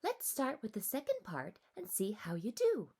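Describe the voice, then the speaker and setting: A woman voicing an encouraging, gently teasing mother in a children's storybook, coaxing and emphatic. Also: a little high, huge pitch swings, normal speed, slightly rough, voice pushed up, exaggerated voice.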